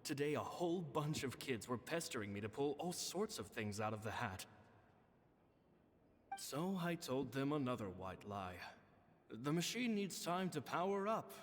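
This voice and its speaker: An actor playing a kindly, humble male stage magician, reading his diary in an earnest, gentle way in a game voice-over. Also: mid-range voice, big pitch swings, normal speed, a little hiss or hum, lightly acted. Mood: reflective. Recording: game audio with long reverb and music.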